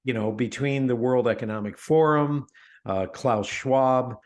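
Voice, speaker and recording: A middle-aged man talking as a guest in a casual interview, emphatic and animated, often restarting his sentences. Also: medium pitch, a little slow. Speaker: podcast guest, author.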